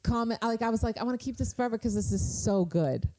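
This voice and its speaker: A woman's voice: on the high side, fast talker, warm tone. A woman in her forties from Croatia with a Croatian accent, chatting candidly on a podcast and laughing at herself. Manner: candid, laughing, self-deprecating. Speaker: podcast guest, social media worker. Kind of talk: casual podcast chat.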